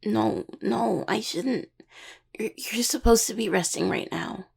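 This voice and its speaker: A woman's voice: a little high, unhurried, creaky, a little mellow, husky, heavily acted. A woman acting in an audio roleplay as an anxious young student necromancer and devoted partner, stammering and earnest. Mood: tender, anxious.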